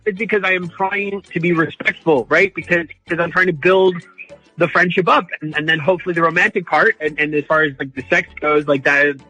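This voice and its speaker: A man calling in to a radio show, telling his story casually in an earnest, halting way. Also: fairly high pitch, fairly even intonation, sharp tone, husky, faint music behind, rough recording. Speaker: caller.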